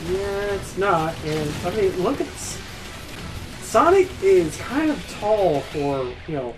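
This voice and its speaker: A man's voice: sits high, sing-song, slow, music in the background, rough recording. A man co-hosting a game playthrough, chatting casually and reacting to the gameplay. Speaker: let's play co-host. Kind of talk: casual chat over gameplay.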